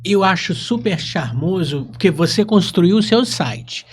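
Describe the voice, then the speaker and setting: A woman's voice: fairly low pitch, lively intonation, a little slow. A woman with a Brazilian accent hosting a casual interview, with a playful, teasing way of talking. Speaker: podcast host.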